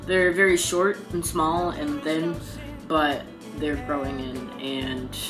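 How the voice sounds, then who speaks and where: A young man talking casually in a video diary, pausing often and filling gaps with "um". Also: on the high side, level tone. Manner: halting, frequent ums. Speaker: vlogger. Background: guitar music.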